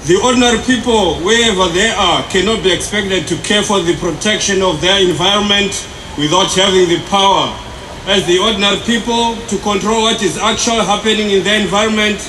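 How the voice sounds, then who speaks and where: A man with a Zimbabwean accent giving a prepared activist speech in deliberate, formal phrasing. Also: high voice, pushed and bright. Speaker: human rights activist speaker. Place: outdoor city centre rally. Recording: echoey outdoor PA with noise.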